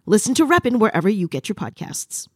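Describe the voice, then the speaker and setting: Female podcast host, mid-pitched, scripted promo read, very dry close sound. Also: big pitch swings, fast talker, a little mellow, slightly rough, no room sound.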